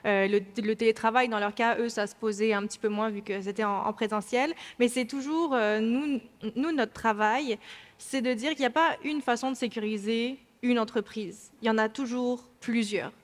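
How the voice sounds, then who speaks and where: French woman on a conference panel, kinda high voice, lots of euh, echoey hall. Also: moderate pace. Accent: French (France). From France. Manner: lively, explanatory, many fillers. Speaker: panelist, cybersecurity trainer. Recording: hall reverb.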